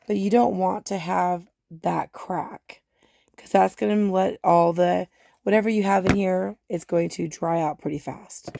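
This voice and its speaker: A woman with a hoarse voice from laryngitis, chatting casually as she unboxes gardening supplies. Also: fairly low pitch, mellow, no room sound, studio quality. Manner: chatty and rambling, many fillers. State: hoarse, losing her voice. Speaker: gardening vlogger.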